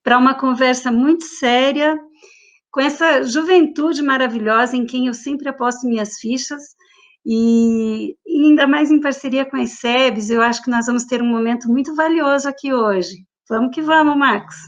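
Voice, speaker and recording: A woman with a Brazilian accent speaks as a guest. Her voice is high-pitched, and she addresses the audience in an informal style. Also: moderate pace, dull audio.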